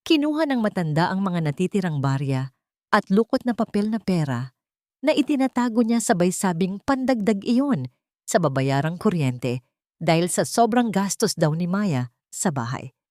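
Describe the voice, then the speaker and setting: Female storyteller for scripted dramatic audio fiction. Lower register, close dry sound. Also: very animated, moderate pace, warm tone, no room sound.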